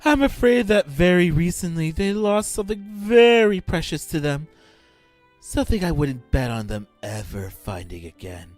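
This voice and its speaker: Mocking madman, gleeful, drawn-out delivery, animated pitch, dry close sound, fan audio drama. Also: no echo, soft background music. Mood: gloating. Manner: theatrical, gloating, drawn-out delivery. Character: deranged gleeful male killer, theatrical and mocking.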